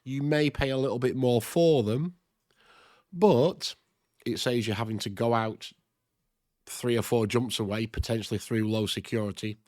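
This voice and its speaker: A man's voice: medium pitch, normal speed, darkish tone, no room sound, studio quality. A man with a stuffed-up, nasal voice from a cold, hosting a chatty game tutorial and thinking aloud. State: congested with a cold. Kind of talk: casual screen-recorded game tutorial.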